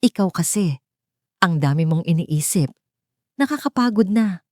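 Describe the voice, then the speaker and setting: A woman's voice: lowish voice, animated, unhurried, mellow, dry recording, clean studio sound. A woman voicing a cold, irritated husband in an audio drama, curt and sarcastic as he deflects blame. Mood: blaming. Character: cold, irritated, dismissive husband blaming his wife.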